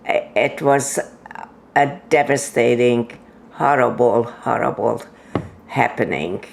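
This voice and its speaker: Slow, gravelly woman in her nineties. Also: a bass voice, slight background noise. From Hungary. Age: 90s. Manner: slow, halting, with many pauses and fillers. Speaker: interview guest. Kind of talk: oral history interview.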